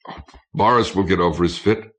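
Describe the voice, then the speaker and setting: A man reading the part of a brisk, frank woman officer aboard a starship in an audiobook. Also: sits low, natural voice. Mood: frank, casual.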